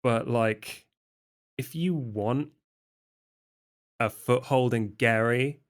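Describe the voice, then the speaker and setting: An awkward, guarded young vampire dealer played by a man in a tabletop roleplay. He talks slowly and casually, with halting, stammered restarts. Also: mid-range voice. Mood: negotiating. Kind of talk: improvised in-character roleplay dialogue.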